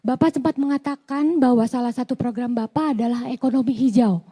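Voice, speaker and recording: A young woman with a somewhat high but very dark, mellow voice that sounds hoarse. She chairs a youth organization and puts a polite, formal question to a candidate. Her greeting and her question are both carefully courteous. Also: brisk.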